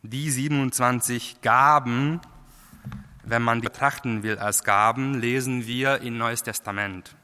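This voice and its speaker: A man preaching a sermon in a language that is not his first, halting, with many fillers and restarts. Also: medium pitch, fairly even intonation, pushed and bright, clear, resonant tone. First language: not German. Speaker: lay preacher. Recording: long hall reverb.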